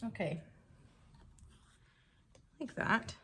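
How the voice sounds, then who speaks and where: A woman with a slightly croaky voice, chatting casually and thinking aloud while she works on a scrapbook page. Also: very slow, sharp tone, slight background noise. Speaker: scrapbooking creator. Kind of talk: casual crafting commentary.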